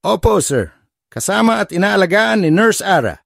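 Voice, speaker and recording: A woman's voice: medium pitch, big pitch swings, moderate pace, creaky, no echo, clean studio sound. A woman voicing a polite, deferential male security guard in his thirties in an audio story. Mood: respectful. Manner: polite, respectful, servile.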